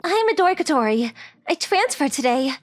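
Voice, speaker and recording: A woman's voice: high voice, sing-song, brisk, no echo, soft background music. A woman voicing a polite, shy teenage rich girl in an anime-style dub, with very formal, hesitant phrasing. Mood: shy politeness.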